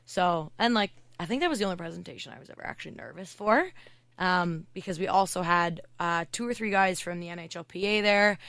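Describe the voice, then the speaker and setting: A woman hockey coach from Canada, a podcast guest, talking in a loud, chatty way with lots of "like" fillers. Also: on the low side, dry recording. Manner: chatty, fast storytelling with many "like" fillers. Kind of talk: casual podcast interview.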